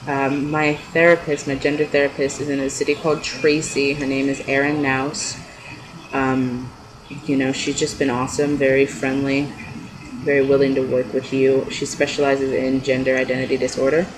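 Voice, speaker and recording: A man's voice: flat delivery, moderate pace. Rambling young man, noisy home recording. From California, United States. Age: young adult. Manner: rambling, hesitant. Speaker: video blogger. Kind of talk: casual unscripted chat to camera. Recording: noisy low-quality amateur webcam-style audio.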